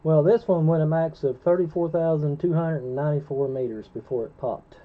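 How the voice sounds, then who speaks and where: Dull, lo-fi ham radio audio carries a man with a slightly high voice. He explains things in a casual, informative way, as one operator to another. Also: fairly even intonation, a little fast.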